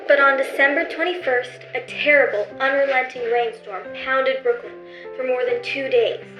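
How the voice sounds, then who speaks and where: Casting: female narrator for vintage-style radio drama, high voice, muffled sound with music behind. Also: fairly even intonation, normal speed. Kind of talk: scripted radio play narration. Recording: echoey, dull lo-fi recording.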